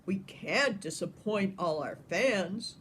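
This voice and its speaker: A woman's voice: mid-range voice, animated, takes it slowly, a bit bright, slight background noise. A woman voicing a stern, grumpy male choir director in a storybook, declaring things emphatically. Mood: urgent.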